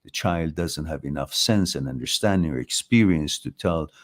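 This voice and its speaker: A man in his sixties from Iran with an Iranian accent, giving an informal philosophical talk in a reflective, halting way as host. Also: a bass voice. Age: 60s. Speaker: host of a live talk, answering viewer questions.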